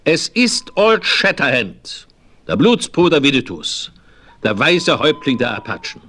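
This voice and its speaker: A man's voice: a little high, sing-song, a little slow, pushed and bright, exaggerated voice. A man playing a crafty, blustering old frontiersman with folksy talk and oaths in a western radio play. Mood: triumphant. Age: older.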